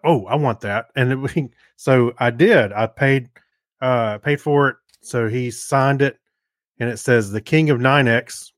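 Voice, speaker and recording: A man's voice: mid-range voice, no room sound. Man chatting casually, dry close sound. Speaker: podcast co-host. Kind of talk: casual chat.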